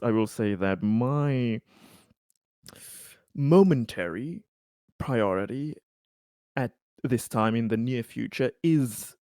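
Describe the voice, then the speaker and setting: A man playing a cautious, diplomatic colony businessman in a tabletop roleplay, speaking in a halting, formal, deliberate way. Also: mid-range voice, mellow, no echo, clean studio sound. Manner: measured, formal negotiator.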